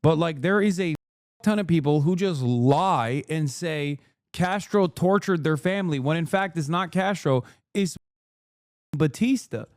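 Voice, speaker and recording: Guy streaming and ranting, swears a lot, kinda high voice, dry sound. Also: measured pace, no room sound. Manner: profane, animated, rambling. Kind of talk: casual reaction commentary.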